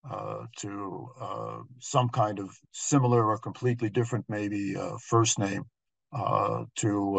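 A man's voice: mid-range voice, dry recording. A man giving an informal slide lecture in a hesitant, explanatory, conversational way. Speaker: guest lecturer on genealogy.

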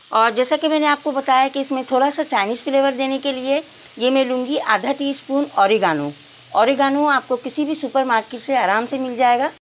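A middle-aged woman with an Urdu accent calmly explaining a recipe step by step to viewers in a home kitchen. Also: sits high, slight background noise, poor audio. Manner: calm, instructive, addresses viewers as nazreen. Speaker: cooking channel host. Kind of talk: step-by-step cooking instructions.

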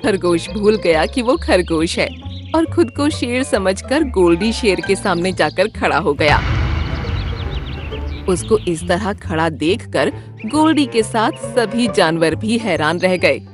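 Woman narrator for a kids' cartoon, very lively pitch, music under. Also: slow. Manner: lively, expressive. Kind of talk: storybook narration for children.

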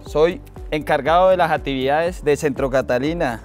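A young man gives a casual promotional welcome in a fairly high voice. Faint music plays behind this activities leader. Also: normal speed. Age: young adult.

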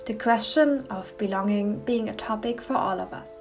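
A woman narrates calmly in a reflective, scripted voice-over. Her voice is mid-pitched, with faint music underneath. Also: moderate pace.

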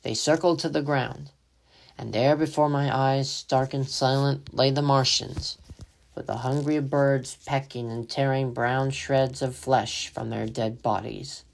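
A man's voice: medium pitch, fairly even intonation, unhurried, creaky, low-quality recording. A man giving solemn, dramatic scripted narration as the storyteller of a radio play.

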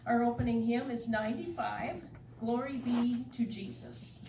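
A woman's voice: a little high, fairly even intonation, measured pace. A woman leading a church service in a folksy, informal way, as a pastor speaking to a congregation. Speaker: worship leader, pastor. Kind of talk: church worship service, informal welcome, prayer and scripture reading. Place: church sanctuary during a service. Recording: noisy, echoey church, distant microphone.

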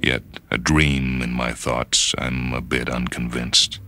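A man narrating a story for radio in a measured, introspective, dramatic manner. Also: very deep voice, flat delivery, sharp tone. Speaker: reader and host of a radio short story program. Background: music.

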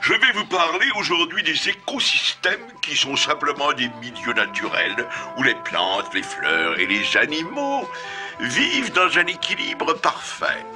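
An actor playing an earnest, modest cartoon owl schoolmaster, formal and professorial, a little hesitant. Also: male voice, a little high, sing-song, a little slow, over music. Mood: didactic. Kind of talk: cartoon dub, lecturing.

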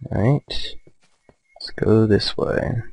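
A man giving casual, low-key let's play commentary, musing hesitantly to himself as he plays. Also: extremely slow, a little mellow. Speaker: gamer, let's play host.

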